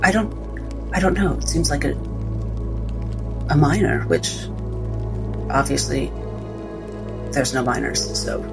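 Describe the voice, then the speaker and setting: A man playing a tabletop roleplaying game casually, talking in a hesitant, halting way as he improvises. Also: fairly high pitch, animated, creaky. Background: music under the voice.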